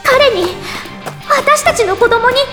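A woman's voice: extremely high pitch, lively intonation, measured pace, over loud music. An actress playing a flamboyant, self-admiring ageless elf artist, narrating her own story in a theatrical, feminine anime drama style. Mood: distraught.

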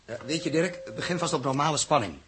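A man's voice: fairly high pitch, expressive, quick pace, a crowd in the background, close to an everyday voice. Man acting a firm, clipped spaceship commander. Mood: decisive. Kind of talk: 1960s Dutch radio drama acting.

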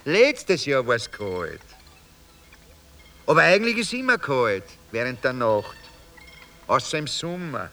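An actor playing a talkative, wry homeless street philosopher in a radio drama, with a Viennese accent and a rambling, folksy way of talking. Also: male voice, mid-range voice, sing-song, takes it slowly, long pauses, pushed and bright, a little hiss or hum, full character voice. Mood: musing, wry. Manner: rambling, musing, folksy storytelling with mock-grand turns. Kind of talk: radio drama acting in dialect.

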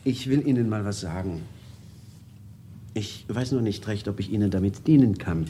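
A man's voice: lowish voice, moderate pace, slight background noise, close to an everyday voice. An actor playing a worldly, amused, cynical bourgeois ex-revolutionary, telling his stories expansively and sardonically in a radio drama. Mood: confiding, ironic. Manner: sardonic, animated, expansive storyteller. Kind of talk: 1970s German radio drama acting.

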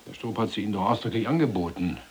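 An actor playing a folksy, talkative German war criminal in a prison cell, speaking colloquially and hesitantly in a radio drama. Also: male voice, fairly low pitch, rapid-fire, a little mellow, a little hiss or hum, lightly acted. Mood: chummy, insistent. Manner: colloquial, hesitant, roundabout, chummy.

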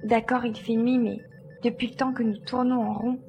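A woman's voice: fairly high pitch, level tone, normal speed, gravelly, muffled recording, soft background music. A woman playing an analytical, matter-of-fact adventurer reasoning things out in an audio drama. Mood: puzzled, reasoning. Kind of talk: French audio drama acting.

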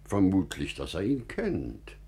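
An actor playing a wise, kindly, wry merchant in a classical radio drama, with measured, deliberate theatrical phrasing. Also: male voice, sits low, huge pitch swings, darkish tone, breathy edge, exaggerated voice. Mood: concerned, urgent.

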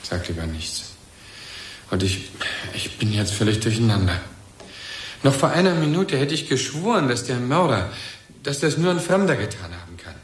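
An actor playing a bereaved, agitated husband in a German radio play, probing and insinuating in halting, pointed phrases. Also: male voice, medium pitch, big pitch swings, a little hiss or hum, natural voice. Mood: troubled, halting. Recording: roomy, echoing radio drama recording.

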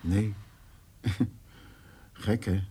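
An actor playing a remorseful, awkward older farmer in a radio drama, speaking haltingly. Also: male voice, fairly low pitch, fairly even intonation, dark tone, husky, exaggerated voice. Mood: contrite, subdued. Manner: hesitant, halting. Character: remorseful, awkward older male farmer visiting an old neighbour. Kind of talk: naturalistic radio drama dialogue.

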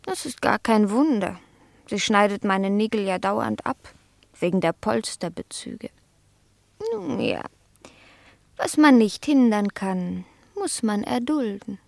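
An actress playing an indolent, fastidious pet cat who philosophizes in a languid, haughty radio-play manner, savoring the words. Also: female voice, medium pitch, lively intonation, gravelly, no room sound. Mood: haughty, aloof. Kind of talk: German radio play acting.

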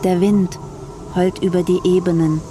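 Casting: actor as grave herald of a wasteland, higher male voice, monotone, radio play. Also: moderate pace, lightly acted. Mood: grave, ominous. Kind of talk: radio drama acting. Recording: noisy insect and outdoor ambience.

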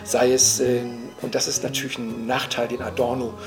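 A mature man with a medium-pitched voice talks off the cuff like a cultural critic. He gropes for the right word, restarts and checks in with short tag questions. Music plays clearly behind his voice. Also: little pitch movement. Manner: halting, searching for words, adding tag questions. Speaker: cultural commentator and jazz enthusiast. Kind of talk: spontaneous analytical talk.

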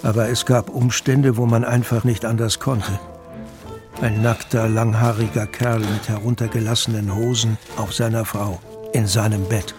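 A man's voice: lowish voice, flat delivery, moderate pace. A man narrating a scripted, dramatic audiobook as a storyteller. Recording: music bed under narration.

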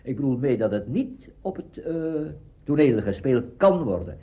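A man explaining something off the cuff in an animated but halting way. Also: mid-range voice. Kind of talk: spontaneous explanatory talk. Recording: dull lo-fi recording.

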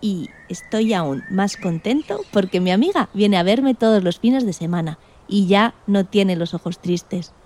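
A woman's voice: mid-range voice, unhurried, few pauses, a little hiss or hum, natural voice. A woman playing an indignant, timid male guinea pig who tells his story expressively in a children's radio play. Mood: joyful. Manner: expressive, exclamatory, plaintive.

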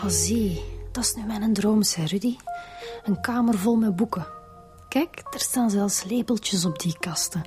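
A woman's voice: mid-range voice, measured pace, over music, natural voice. A woman playing an informal, chatty police detective in a radio drama, with a Flemish accent. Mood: curious. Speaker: actress playing a police inspector.

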